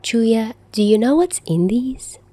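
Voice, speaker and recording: A woman's voice: a little high, expressive, takes it slowly, dark tone, dry recording, pitched above the performer's own voice. A woman voicing a defiant, sulky teenage boy in an audiobook story, in a pouting, protesting manner. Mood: curious.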